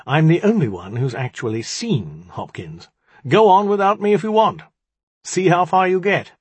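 Guy playing cocky old rat spirit, sarcastic n huffy, raspy, very animated pitch, storybook. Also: no room sound. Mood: huffy growl. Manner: sardonic, brisk, huffy.

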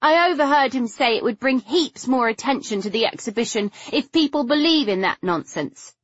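A woman narrator plays a stubborn young girl in an audiobook, pitched very high and full of tearful indignation. The voice insists and complains, in a muffled recording. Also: animated, normal speed, no room sound. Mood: indignant. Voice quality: high, breaking voice. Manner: insistent, aggrieved.

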